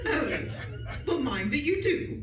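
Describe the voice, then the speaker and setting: A woman's voice: high voice, very animated, takes it slowly, a crowd in the background, full character voice. Woman doing bossy posh old auntie, scolding and curt, echoey distant radio play recording. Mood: imperious, exasperated. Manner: scolding, imperious. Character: curt, overbearing older upper-class English aunt. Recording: roomy, distant stage recording.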